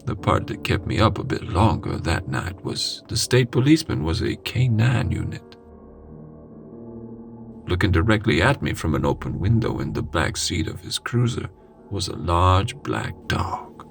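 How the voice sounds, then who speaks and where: A man narrates a horror tale in a low, unhurried voice that swings widely in pitch. His delivery is dramatic and suspenseful, leaning on pauses, with light music playing behind him. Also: big pitch swings, gravelly. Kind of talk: scripted first-person scary story narration.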